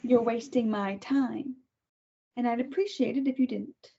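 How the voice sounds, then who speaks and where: A woman's voice: fairly high pitch, fairly even intonation, a little slow, creaky, mellow, highs cut off. A woman reading a cold, self-righteous captor at a table read, making clipped, controlled threats. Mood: contemptuous.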